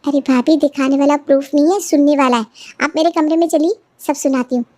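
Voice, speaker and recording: A woman's voice: high-pitched, steady tone, a little fast, warm tone, no echo, lightly acted. A woman voicing an indignant young daughter-in-law in a cartoon family story, complaining insistently. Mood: eager, secretive. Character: aggrieved, indignant young daughter-in-law, eager to expose wrongs.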